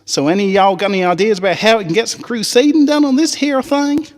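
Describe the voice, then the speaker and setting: A man's voice: sits high, fairly even intonation, fast, big-room sound, pitched above the performer's own voice, close to an everyday voice. A man voicing a spirited young country filly with a folksy Southern US drawl, eager and scheming, in a story reading.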